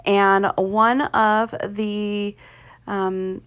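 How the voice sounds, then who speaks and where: This is a woman instructing an art class in a casual, conversational way. She takes her time, with a slow pace and a halting flow. Her pitch sits in the middle for a woman, and her manner is reassuring. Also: little pitch movement, fairly bright tone, smooth. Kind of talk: casual painting tutorial with personal asides. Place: indoors at a craft table.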